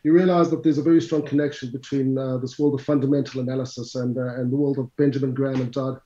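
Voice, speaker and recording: A middle-aged man with a South African accent talks through his career in a chatty, lecturer's way, sometimes speaking to listeners directly. His voice is dark and mellow. It sits in a roomy space and sounds a little dull. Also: medium pitch, flat delivery, fast talker. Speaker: CFA instructor, guest. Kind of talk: conversational interview answers.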